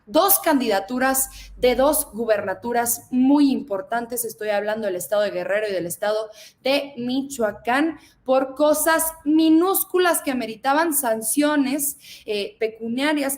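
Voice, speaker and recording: A woman's voice: fairly high pitch, a little mellow. A Mexican woman in her twenties, a federal deputy, speaking emphatically in a political interview and addressing the audience. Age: 20s.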